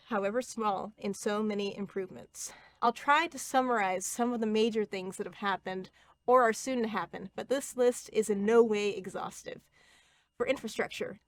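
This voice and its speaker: A woman in her thirties from Ohio giving a prepared campaign statement as a city council candidate, earnest and steady with small slips. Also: mid-range voice.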